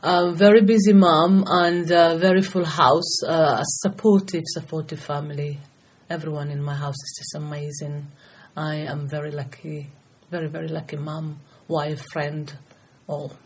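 A woman's voice: deep voice, steady tone, measured pace, slightly rough. A middle-aged woman from Somalia, a guest speaking earnestly and passionately in a podcast interview. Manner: earnest, passionate, talkative, frequent restarts. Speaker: guest, anti-FGM campaigner. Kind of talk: podcast interview, personal testimony.

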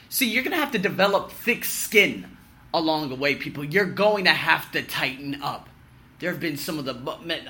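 A man in his thirties talks straight to camera in a lively, swooping voice that leaps high on a punchline and drops low on an aside. His tone is very bright and a touch high for a man. He is blunt and swears freely. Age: 30s. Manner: animated, emphatic, swinging from loud boasts to low muttering. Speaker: vlogger. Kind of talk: personal year-in-review monologue.

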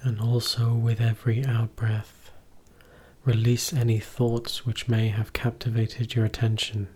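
A man leading a guided meditation as a teacher, giving gentle, measured instructions in short phrases with pauses between them. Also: medium pitch, little pitch movement, slightly rough.

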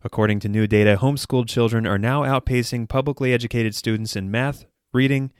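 A man's voice: medium pitch, fairly even intonation, fast talker, smooth, dry recording, studio quality. A man hosting a podcast, reading a satirical news report in a mock-serious newsreader delivery. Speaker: podcast host.

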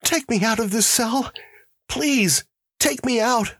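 A man voicing a terrified male prisoner who pleads and stammers in a high, strained voice. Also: slow pace, fairly bright tone, husky, no echo, clean studio sound, full character voice.

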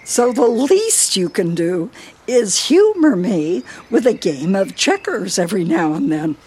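A woman's voice: medium pitch, very animated, measured pace, darkish tone, slightly rough. A woman playing a feisty elderly wife in a radio play, teasing and needling her husband theatrically. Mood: playful, defiant.

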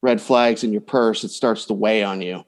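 A man's voice: fairly low pitch, steady tone, moderate pace. A man giving conversational advice as a coach and podcast guest, often voicing hypothetical remarks as he explains.

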